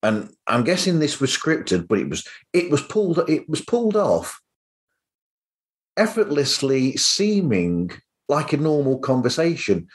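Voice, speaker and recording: A man's voice: medium pitch, very animated, measured pace. A man hosting a podcast, chatting casually with his guests. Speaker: podcast host. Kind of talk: casual interview chat.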